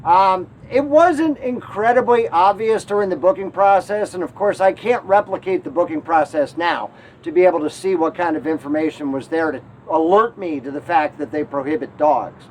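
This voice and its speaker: A man's voice: sits high, normal speed, darkish tone, a little hiss or hum, poor audio. A man from Connecticut hosting an RV travel show, telling the story in a wry, mildly aggrieved way.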